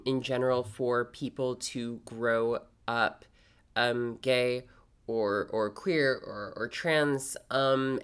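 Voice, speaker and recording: A young adult woman from Canada, speaking in an interview in a halting, thoughtful way and often pausing with "like" and "um". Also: rumbling low, bright, forceful tone, smooth. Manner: halting, thoughtful, heavy use of "like" and "um". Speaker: interviewee, poet and graduate student. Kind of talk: casual interview talk.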